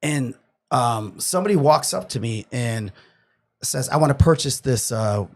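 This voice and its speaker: A young man from New York telling a profane, animated story in a casual podcast chat. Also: medium pitch, unhurried. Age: 20s.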